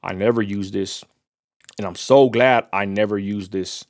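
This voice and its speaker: A man's voice: lowish voice, unhurried, a little mellow. A man with a West Texas accent hosting a casual livestream. He rants bluntly and swears freely, speaking straight to the viewers. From Texas, USA. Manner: blunt, profane, heated ranting. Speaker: livestream host. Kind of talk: casual ranting livestream commentary.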